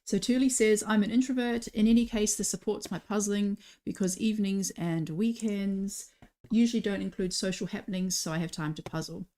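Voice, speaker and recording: Woman, chatty, live-stream commentary, reads comments aloud, mid-pitched. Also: a little fast, warm tone. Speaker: commentator co-host.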